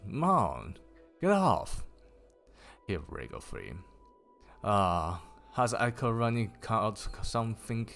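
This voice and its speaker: A man streaming a casual story reading, raising his pitch for the characters and stopping to laugh and swear at typos. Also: gravelly, a bit bright. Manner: lifts pitch for quoted characters. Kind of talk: casual livestream book reading.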